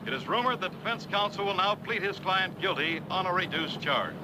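An actor playing a brisk, impersonal radio news announcer reading a scripted bulletin in a 1960s television drama. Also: male voice, sits high, expressive, noisy background. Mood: neutral, official.

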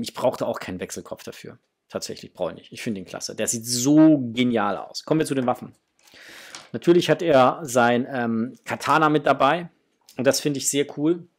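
Casual, enthusiastic man, medium pitch. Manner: enthusiastic, informal. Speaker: toy review channel host. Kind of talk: casual unboxing and review chat. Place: small home room, indoors.

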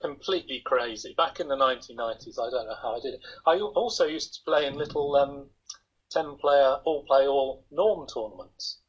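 Husky older man rambling on a video call. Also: mid-range voice, fairly quick. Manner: chatty, rambling, self-deprecating. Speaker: interview guest. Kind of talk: casual video-call interview. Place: home room, indoors. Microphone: laptop webcam on a video call. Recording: dull lo-fi video call audio.

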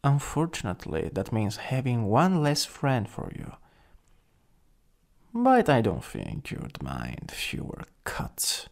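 A man's voice: mid-range voice, wildly swooping pitch, slow pace, vocal fry, heavily acted. A man with an Italian accent playing a jealous, possessive, taunting supervillain in an ASMR roleplay, drawling and teasing, sometimes dropping to a whisper. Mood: possessive menace.

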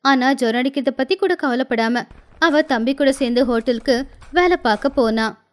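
A woman's voice: sits high, normal speed. A woman narrating a scripted moral story as the storyteller.

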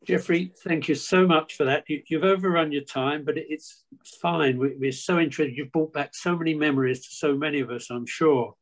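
A man's voice: on the high side, fairly quick, hardly any pauses, low-quality recording. An older man hosting an online talk, thanking the speaker and calling on questions, restarting words as he goes. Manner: warm, stammers on restarts. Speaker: host. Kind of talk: hosting a video call. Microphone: video call.

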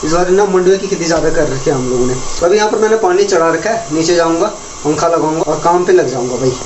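A man's voice: on the high side, expressive, fast, dull audio. Young man, casual village vlog, phone held at arm's length, chatty, light background noise. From Uttarakhand hills, India. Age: young adult. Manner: chatty, keeps calling viewers brother. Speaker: village vlogger. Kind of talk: casual vlog talk to camera. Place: village house and yard, indoors and outdoors. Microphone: phone camera held at arm's length.

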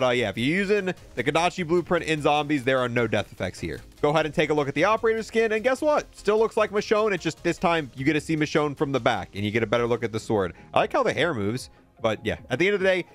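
A man giving casual, chatty and opinionated review commentary over video game footage, with playful exclamations. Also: fairly high pitch.

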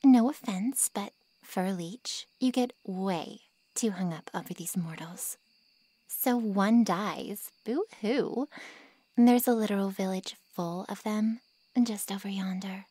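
A woman playing a snide, taunting female monster in an ASMR roleplay, with a sarcastic drawl and a breathy, half-whispered voice. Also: medium pitch, dark tone, dry recording. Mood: mocking, amused. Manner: sarcastic, insulting, teasing drawl.

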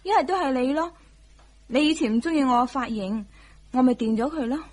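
An actress playing a sweet young wife who is eager to please, in a radio drama. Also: female voice, high voice, fairly quick, a little mellow. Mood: sweet, playful. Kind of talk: Cantonese radio drama acting.

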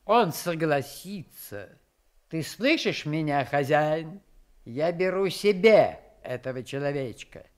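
Gracious, commanding queen for children's radio drama, theatrical and highly animated. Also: slow. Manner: regal, theatrical. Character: gracious, imperious giant queen, easily delighted. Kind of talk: children's radio play acting.

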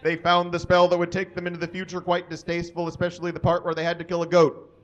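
A man in his thirties performing a comic reading live on a small stage into a stand microphone, in a halting way. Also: sits high, monotone, a bit bright, muffled recording. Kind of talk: comic live reading of fan fiction to an audience. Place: small indoor stage with curtain and brick wall.

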